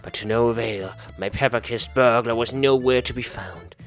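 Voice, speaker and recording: A man's voice: mid-range voice, normal speed, faint music behind. A man reading a visual novel aloud in a theatrical, mock-serious way as a gaming host. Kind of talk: dramatic read-aloud narration of a visual novel.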